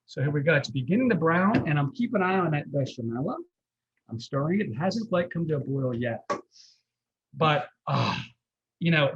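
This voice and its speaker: Clear-voiced man teaching cooking. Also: medium pitch, highs cut off. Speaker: guest chef teaching a cooking class. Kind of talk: live cooking demonstration with casual storytelling.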